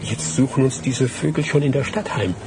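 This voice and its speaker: An actor playing a brooding, obsessed middle-aged husband in a German radio play, speaking in terse, clipped phrases, evasive and reckless. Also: male voice, medium pitch, normal speed, natural voice. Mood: evasive, disgusted. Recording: radio play with loud music and car noise.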